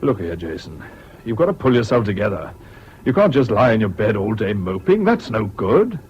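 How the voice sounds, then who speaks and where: An actor in a 1970s British radio drama playing a sensible, patient friend who speaks in a measured, frank way. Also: male voice, lowish voice, very animated, a little mellow. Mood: firm. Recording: dull, faintly noisy old radio recording.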